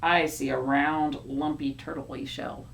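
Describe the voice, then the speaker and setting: 여성이 연기하는 낮고 느린 고집스러운 캐릭터. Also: female voice, fairly even intonation, vocal fry, a little hiss or hum, heavily acted. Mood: stubborn. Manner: low, drawn-out, stubborn. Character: stubborn, calm turtle-believing story character.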